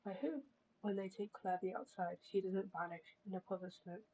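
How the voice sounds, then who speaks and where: A woman's voice: medium pitch, fairly even intonation, a little fast, darkish tone, husky, muffled recording. A British woman chatting casually on a live stream, polite and musing as she talks.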